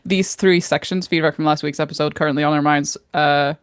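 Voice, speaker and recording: Woman w a low voice, blunt relaxed podcast chat, very dry sound. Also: fairly quick, clear, resonant tone, no room sound. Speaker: podcast co-host. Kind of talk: casual chat.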